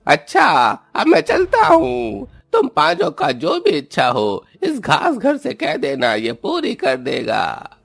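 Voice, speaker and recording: Wildly swooping, creaky little magic bumblebee. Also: mid-range voice, huge pitch swings, slow, no room sound, heavily acted. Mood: kindly. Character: magical talking bumblebee, male, small, grateful and eager. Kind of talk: cartoon story character voice.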